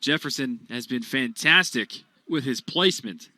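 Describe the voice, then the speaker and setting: A young man calling baseball play-by-play for radio in an animated, punchy sportscaster style. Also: mid-range voice, moderate pace, faint music behind. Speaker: play-by-play announcer.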